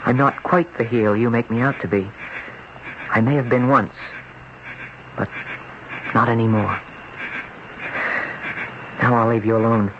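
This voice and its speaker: A man's voice: medium pitch, steady tone, measured pace, pauses often, background noise, natural voice. An actor playing an earnest, troubled young man in an old-time radio drama. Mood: pleading, earnest. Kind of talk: old-time radio drama acting and first-person narration. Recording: dull old radio recording with music.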